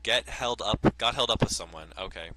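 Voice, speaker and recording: A man's voice: lowish voice, normal speed, pushed and bright, low-quality recording. A man hosting a let's play, reading game text aloud and cracking crude jokes between lines. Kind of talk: casual gameplay commentary and reading aloud.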